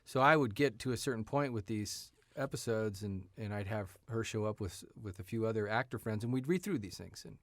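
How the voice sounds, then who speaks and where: A man with a fairly low voice speaks as a guest in a casual interview. His talk is informal and conversational, and the studio sound is close and dry. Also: a little fast, hardly any pauses, no echo. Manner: informal, often checks with "you know". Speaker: writer and actor, interview guest.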